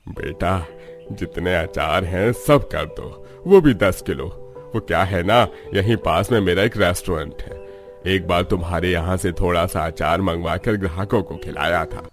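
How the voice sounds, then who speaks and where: A kindly old businessman acted by a man for a cartoon story. The voice is fairly low and unhurried, avuncular and practical, with faint music underneath. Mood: businesslike, kindly. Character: old male restaurant owner, kindly businesslike customer. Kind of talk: Hindi cartoon story dialogue.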